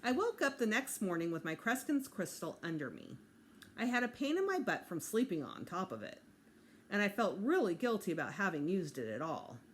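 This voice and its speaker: Expressive woman reading a storybook aloud. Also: fairly low pitch, normal speed, a little hiss or hum. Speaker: teacher reading aloud to students.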